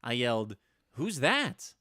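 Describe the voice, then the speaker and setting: Radio play lead, male actor: ageless wry storyteller, easily flustered, bright mid-pitched voice with lively swings. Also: takes it slowly, dry recording, clean studio sound, exaggerated voice. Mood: wry, reflective. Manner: expressive, dramatic, wry storytelling. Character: centuries-old Salem man, wry storyteller, easily flustered. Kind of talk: vintage radio drama narration and acting.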